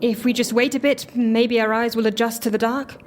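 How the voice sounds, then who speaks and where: A woman's voice: on the high side, fairly even intonation, moderate pace, slight background noise, close to an everyday voice. A woman acting in an audio drama as a jittery but wry woman sheltering in a cellar, in naturalistic dialogue. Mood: uneasy.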